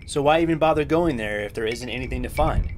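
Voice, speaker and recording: A man's voice: mid-range voice, fast, a little hiss or hum, natural voice. Man acting as matter-of-fact spaceship crewman. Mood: interested. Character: level-headed male spaceship crewman, explainer of plans. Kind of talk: science fiction audio drama acting.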